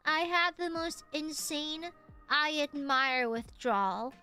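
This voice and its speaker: A high, bright woman's voice with a nasal edge, chatting casually to her stream audience. She rambles, giggles and swears offhandedly, and her pitch bounces all over the place. Soft music plays faintly underneath. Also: slow pace. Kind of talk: casual stream chat with viewers.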